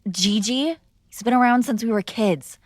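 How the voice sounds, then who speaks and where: A woman's voice: on the high side, moderate pace, no room sound, studio quality. A young woman performing an audio roleplay as a defiant, sarcastic mob boss's daughter arguing with her father. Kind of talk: scripted ASMR girlfriend roleplay.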